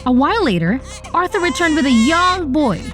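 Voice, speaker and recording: A woman voicing an earnest, optimistic young heroine who narrates her own animated story in an expressive, dramatic way. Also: sits high, moderate pace. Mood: earnest storytelling. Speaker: narrator and lead character. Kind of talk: animated first-person story narration with acted dialogue. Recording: voice over background music. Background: music.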